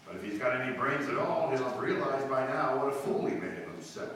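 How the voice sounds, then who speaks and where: A man's voice: mid-range voice, fairly quick, a bit bright, lightly acted. A man acting a wry, teasing, self-assured society ex-husband in a breezy, bantering 1940s radio-play style. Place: live staged radio-play performance in a hall. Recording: roomy, echoey distant live stage recording, faint noise.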